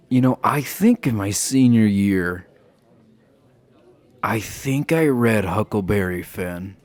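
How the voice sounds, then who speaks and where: Man playing a deep-voiced flirty himbo jock. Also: darkish tone. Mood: sheepish. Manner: halting, bashful chuckling, teasing. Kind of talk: romantic roleplay audio acting, addressed to listener.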